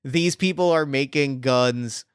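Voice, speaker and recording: Smooth-voiced man running a tabletop game. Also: medium pitch, measured pace, dry recording, clean studio sound. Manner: thinking aloud with many fillers and restarts. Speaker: game master. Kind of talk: improvising a tabletop game scene.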